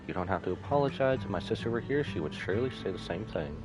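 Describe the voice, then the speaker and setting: A man voicing a kind, grieving brother from a game, gentle and reassuring. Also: low-pitched, animated, fast talker, low-quality recording. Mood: wistful, gentle. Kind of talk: game dialogue read aloud by a streamer. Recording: music behind the voice.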